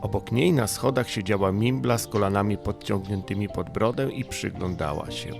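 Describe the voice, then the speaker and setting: A man reads a bedtime story as an audiobook narrator. His voice sits fairly low with a distinct creaky grain. Music plays clearly underneath. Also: moderate pace.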